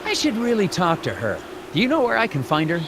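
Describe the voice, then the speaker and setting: Earnest dorky young fbi agent for a cartoon game, swingy pitch, kinda hesitant, clear noise behind. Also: male voice, a little fast, exaggerated voice. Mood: curious, insistent. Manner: polite, hesitant, deadpan. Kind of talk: animated adventure game dialogue and dictated notes.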